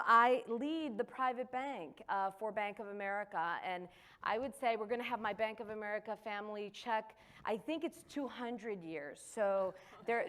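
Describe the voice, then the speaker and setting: A woman's voice: a little high, fairly bright tone, a little hiss or hum. A woman moderating a panel, introducing the guests with enthusiastic praise.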